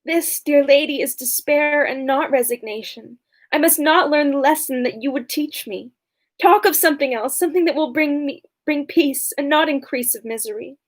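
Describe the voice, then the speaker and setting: A woman reading aloud as a young condemned servant woman, tearful and pious, in an earnest, slow, mournful way. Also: high voice, close to an everyday voice. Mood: despairing.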